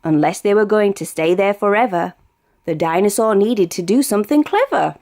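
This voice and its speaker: Female British storyteller for a rhyming picture book; expressive, sing-song delivery with a wide pitch range. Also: normal speed. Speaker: storybook reader. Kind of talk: read-aloud of a rhyming children's picture book.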